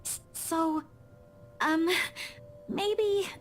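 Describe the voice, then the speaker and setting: A woman's voice: extremely high pitch, extremely slow, a little hiss or hum, full character voice. An actress playing a childish, earnest young woman in a visual novel game, flustered and given to stammering protests. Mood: nervous, hesitant.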